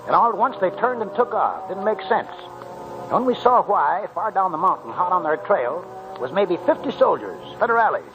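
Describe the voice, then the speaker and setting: A wily old American prospector in a 1940s radio drama. His talk is folksy and chuckling, rather high and swinging widely in pitch. Music can sit behind him on the old broadcast. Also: big pitch swings, moderate pace, highs cut off. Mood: narrating, lively.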